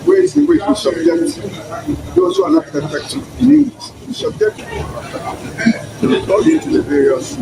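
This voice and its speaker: An older Ghanaian man gives emphatic commentary as an interviewee. His voice is high for a man and very lively in pitch, recorded amid clear background noise. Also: unhurried, pauses often. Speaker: emeritus professor and interviewee. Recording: clearly noisy location sound.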